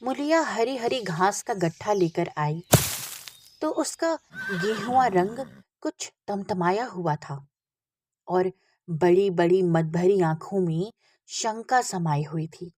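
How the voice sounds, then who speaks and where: A woman's voice: medium pitch, expressive, takes it slowly, a little mellow, slight background noise. A woman reading a literary story aloud as an audiobook narrator, with expression.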